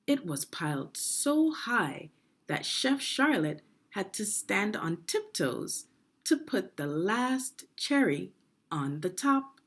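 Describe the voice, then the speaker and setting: A woman reading a children's storybook aloud expressively, in a deliberate, teacherly manner. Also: mid-range voice, big pitch swings, breathy edge. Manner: deliberate, teacherly, asks the listener questions. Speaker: education consultant reading a children's book.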